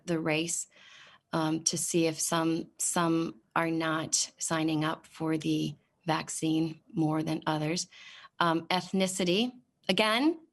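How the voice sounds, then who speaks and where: Exasperated woman testifying at a hearing. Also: fairly low pitch. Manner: exasperated, sarcastic questioning, halting with many fillers. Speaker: state senator at a committee hearing. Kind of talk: committee testimony, reading off a website form. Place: legislative hearing room.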